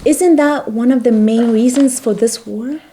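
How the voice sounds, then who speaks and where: A woman's voice: a little high, a little slow, mellow. A woman playing a hesitant junior newspaper writer in a satirical sketch, questioning tentatively yet compliant. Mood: doubtful.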